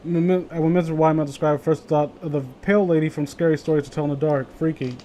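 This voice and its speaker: High-pitched man ranting casually, sweary. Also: fairly even intonation, quick pace, warm tone, a little hiss or hum. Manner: rambling, profanity-laden, opinionated. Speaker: streamer hosting a group story reading. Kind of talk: casual chat.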